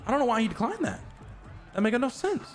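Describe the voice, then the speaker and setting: A man doing live play-by-play commentary on a video game stream, getting loud on big plays and chatting with viewers between them. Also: fast talker, soft background music. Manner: excitable, jumps to high shouts on big plays.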